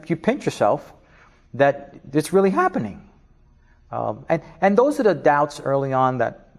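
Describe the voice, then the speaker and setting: A middle-aged man from Spanish Harlem with a New York accent, giving an animated interview, laughing often and restarting his sentences. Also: medium pitch. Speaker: interview guest, business leader. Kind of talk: casual interview talk.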